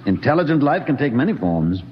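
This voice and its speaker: In a muffled, echoing old radio recording, a man plays a brooding scientist on a spaceship. He muses deliberately and sardonically, his pitch swinging expressively, in the manner of 1950s radio drama. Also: very animated, slight background noise, lightly acted. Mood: musing. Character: brooding, coolly philosophical male scientist aboard a spaceship.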